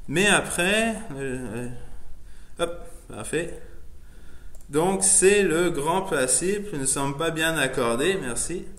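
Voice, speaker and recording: A man, a non-native French speaker, giving a loose, chatty software demo full of fillers and restarts. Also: fairly high pitch, expressive, slow pace. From immigrant to Canada. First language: not French. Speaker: presenter showing his editor setup. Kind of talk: casual live software demo. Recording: echoey room, faint noise, keyboard typing.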